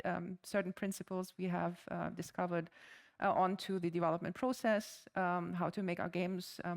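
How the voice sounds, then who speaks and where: German-accented woman in her 40s giving a stage talk, lots of ums, smooth clear voice. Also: fairly low pitch. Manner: frequent ums and uhs, friendly and chatty. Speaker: conference speaker. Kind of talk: conference presentation. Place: conference stage before a live audience.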